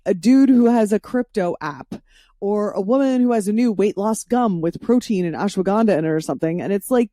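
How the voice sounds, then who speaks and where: A woman's voice: mid-range voice, mellow, dry recording, clean studio sound. A woman hosting a podcast in a casual, chatty, informal way, speaking freely with swearing. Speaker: podcast host. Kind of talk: casual solo intro and interview chat.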